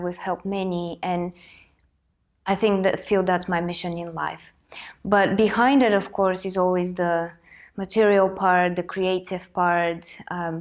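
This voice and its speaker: A woman in her thirties from Bulgaria hosting a personal motivational talk, speaking earnestly and reflectively while she has a cold. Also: on the low side. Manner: earnest, reflective, thinking aloud. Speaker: host.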